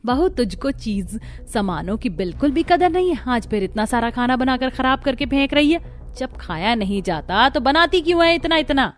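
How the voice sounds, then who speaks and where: Woman doing cartoon older mother-in-law, always scolding, highish voice. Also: lively intonation, normal speed. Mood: angry. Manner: nagging, idiom-heavy scolding.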